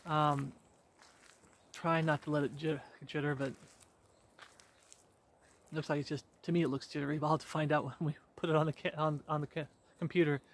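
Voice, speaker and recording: Earnest man preaching on a forest walk. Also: fairly high pitch. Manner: earnest, repetitive, exhorting, with many fillers and restarts. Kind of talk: walk-and-talk devotional preaching. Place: outdoors on a wooded rural road. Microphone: handheld camera at arm's length.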